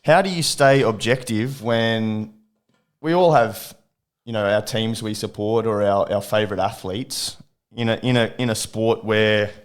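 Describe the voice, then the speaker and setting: A man's voice: fairly low pitch, measured pace, smooth. A man hosting a podcast who asks long, rambling questions full of fillers. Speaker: podcast host. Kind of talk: casual podcast interview.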